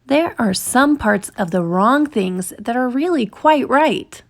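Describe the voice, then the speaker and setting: A woman reading a children's picture book aloud in an expressive, deliberate storytelling manner, stretching words for emphasis. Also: on the high side. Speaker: storytime reader.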